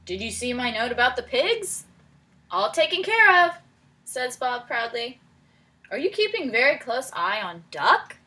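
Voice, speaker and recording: A woman reading a picture book aloud to children as their teacher, pausing to prompt them with questions in an encouraging way. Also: fairly high pitch, sing-song, bright, forceful tone. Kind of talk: read-aloud storytelling with questions to young listeners.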